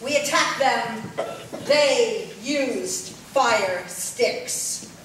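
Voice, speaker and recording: Solemn villager acted by a woman, slow, simple measured phrases, puppet theatre, strong room echo. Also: fairly high pitch, expressive, a bit bright, exaggerated voice. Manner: simple, measured short statements. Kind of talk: puppet show acting. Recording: echoey hall, distant mic, faint noise.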